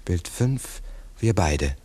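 An actor playing an earnest, philosophizing investigator in a 1960s German radio play, speaking in a deliberate, explanatory way. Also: male voice, sits low, very animated, darkish tone, husky, full character voice. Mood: matter-of-fact. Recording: 1960s mono radio recording.

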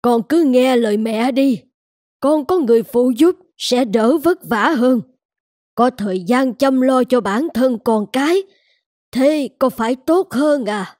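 High-voiced elderly cartoon grandmother. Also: slow, darkish tone, no room sound, clean studio sound. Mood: caring, insistent. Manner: advising, reassuring. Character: kindly, insistent elderly grandmother and mother-in-law. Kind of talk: cartoon dubbing.

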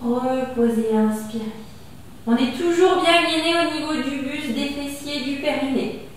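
A French woman in her thirties leading a gentle exercise class, calmly cueing each breath and movement as she does the exercise on a mat. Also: high voice, measured pace, slight background noise. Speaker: fitness instructor. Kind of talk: guided exercise class instruction. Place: small gym studio with wall bars, indoors. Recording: echoey room from far off.

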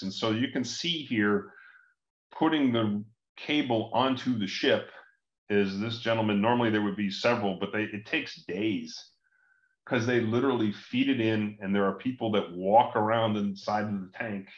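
A man giving an informal, explanatory technical presentation over a video call. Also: on the low side. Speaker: conference presenter. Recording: roomy, dull video call audio.

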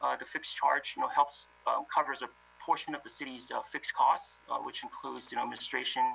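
Gravelly man presenting a budget report. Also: medium pitch, fairly even intonation, sharp tone, soft background music, low-quality recording. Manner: measured, many fillers. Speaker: city finance director. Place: remote video meeting.

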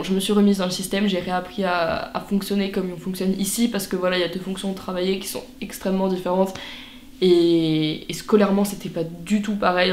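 A woman's voice: medium pitch, darkish tone, roomy sound, a little hiss or hum. A teenage girl from France vlogging casually to camera in her bedroom, chatty with lots of fillers and restarts. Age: teens. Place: bedroom, indoors.

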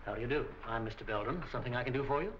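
An actor in his fifties playing a smooth, evasive store proprietor, formal and salesmanlike, in a 1940s film. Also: medium pitch, racing, lightly acted. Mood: polite. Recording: muffled, hissy 1940 film soundtrack.

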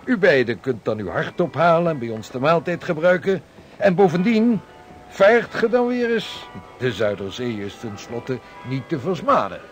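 An actor playing a hearty, hospitable seasoned sea captain in a Dutch radio drama, speaking jovially in formal old-fashioned phrases. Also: male voice, fairly high pitch, expressive, moderate pace, faint music behind, close to an everyday voice. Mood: jovial, inviting. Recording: radio play with background ambience noise.

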